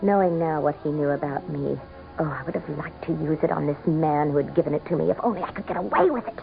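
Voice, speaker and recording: An actress playing a proud, scornful governor's wife in a radio drama, with a haughty, melodramatic manner. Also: female voice, low-pitched, fast, creaky, highs cut off, music in the background. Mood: bitter narration. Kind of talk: 1970s-style radio drama acting. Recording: thin, band-limited old radio broadcast.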